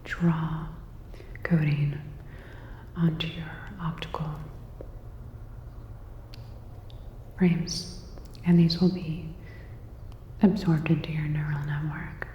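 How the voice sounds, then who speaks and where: Casting: woman as a polite sci-fi repair technician for ASMR roleplay, low-pitched whisper, unhurried and gently attentive, long reverb. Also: level tone, muffled recording, a little hiss or hum. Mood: soft, focused. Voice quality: soft breathy whisper. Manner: slow, halting, gently attentive.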